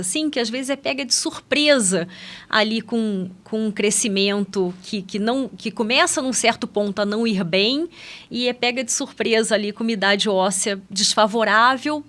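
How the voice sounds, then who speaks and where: A Brazilian woman with a fairly high voice explains things as a podcast guest. Her delivery is conversational and explanatory, often punctuated with small tag questions seeking agreement. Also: normal speed, fairly bright tone. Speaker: guest pediatric endocrinologist.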